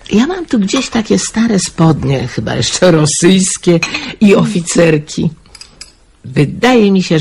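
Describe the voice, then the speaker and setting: A woman playing a chatty, motherly middle-aged lady of a remote house, gently teasing her lodger, in a radio drama. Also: sits low, gravelly, full character voice. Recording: faint background noise.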